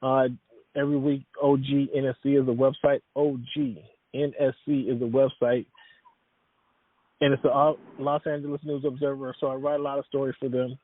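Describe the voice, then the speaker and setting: A man's voice: mid-range voice, flat delivery, normal speed, no echo. Rambling man on a tinny phone line. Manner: rambling, many fillers and restarts. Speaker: guest, sports writer. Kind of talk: casual interview talk.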